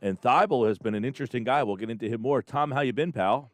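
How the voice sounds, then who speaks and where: A man's voice: medium pitch, fast, no room sound, faint music behind. A man hosting sports talk radio in a conversational style, leading an interview.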